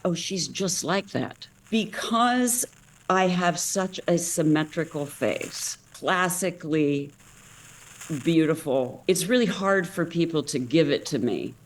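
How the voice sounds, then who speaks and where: A woman speaking slowly and deliberately in a reflective interview, checking for agreement. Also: sits low.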